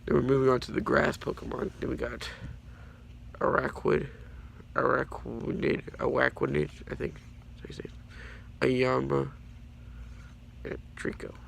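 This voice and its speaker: A teenage boy with a raspy voice from being sick, casually showing his trading cards and stumbling over their names. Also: level tone, unhurried, long pauses. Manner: stumbles over card names, apologetic and rambling. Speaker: YouTuber showing his card collection. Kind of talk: casual card showcase. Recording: low-quality home audio with faint background noise.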